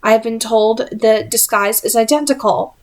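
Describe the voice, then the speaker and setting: A woman reader puts on a highborn vampire woman from a novel. She is haughty and scornful, with formal, imperious phrasing and a cool sense of her own rank. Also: moderate pace, lightly acted. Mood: probing, sly.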